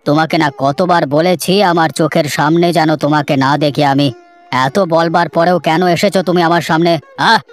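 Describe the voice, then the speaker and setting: A bitter, scornful cartoon father, played by a man. He speaks in a somewhat high male voice with a harsh, blaming manner. Light music runs underneath. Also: fairly even intonation, brisk, natural voice. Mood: scornful anger. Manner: harsh, accusing. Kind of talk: cartoon melodrama dialogue. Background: background music.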